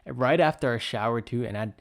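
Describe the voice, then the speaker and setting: A man's voice: mid-range voice, fast, creaky. A man of twenty from Texas, co-hosting a podcast in a joking, chatty way. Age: 20s. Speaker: podcast co-host. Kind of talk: casual chat. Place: home in Texas.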